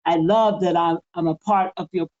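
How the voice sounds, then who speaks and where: A woman's voice: lowish voice, steady tone, normal speed, a little mellow, lo-fi sound. A woman in her seventies, a podcast co-host, speaking with fiery, emphatic conviction in a preaching cadence. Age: 70s. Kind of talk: impassioned spiritual podcast talk.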